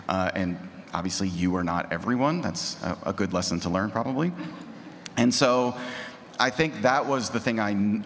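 A man who makes podcasts, speaking reflectively and off the cuff as a panelist in a discussion. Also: lowish voice. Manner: reflective, self-questioning. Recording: echoey hall, background noise.

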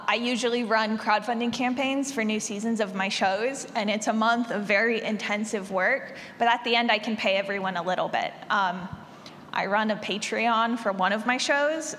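A woman's voice: mid-range voice, normal speed, fairly bright tone. A woman speaking informally and emphatically as a producer on a panel. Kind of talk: casual panel talk. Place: large indoor convention panel room. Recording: reverberant noisy conference room.

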